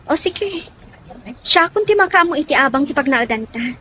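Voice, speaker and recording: A woman's voice: high voice, expressive, moderate pace, bright, forceful tone, a little hiss or hum. A woman playing a meek, dutiful young provincial woman in an audio drama, obedient and hesitant. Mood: agreeable.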